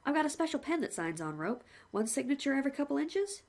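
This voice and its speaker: A woman voicing a cheerful, chatty male hangman who talks shop politely and brightly. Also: sing-song, fast. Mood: cheery. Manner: cheerful, chatty, higher-pitched.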